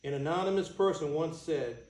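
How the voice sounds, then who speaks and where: Plainspoken groom, high-pitched man acting in echoey room. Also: brisk, darkish tone, lightly acted. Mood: tender. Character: Adam, easygoing older groom, gently stubborn about cell phones. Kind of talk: staged reading of a play. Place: indoor room, staged reading.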